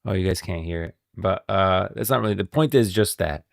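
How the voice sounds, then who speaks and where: A man's voice: low voice, brisk, vocal fry, no room sound, studio quality. A man doing casual live-stream commentary, talking in an emphatic, repetitive, slangy way.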